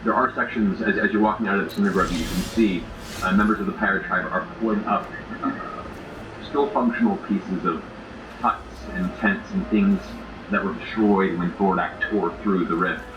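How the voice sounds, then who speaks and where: Male game master, low register, ornate narration with pauses. Distant mic, clear background noise. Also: steady tone, roomy sound.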